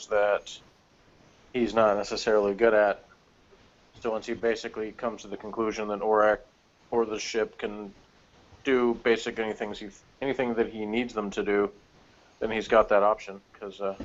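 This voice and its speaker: A man's voice: on the low side, muffled recording. A man on a discussion panel, explaining his thoughts in a loose, rambling, casual way.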